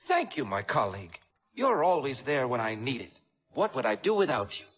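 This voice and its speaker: A man voicing a polite, flustered professor in an animated children's cartoon. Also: medium pitch, moderate pace. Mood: flustered, grateful.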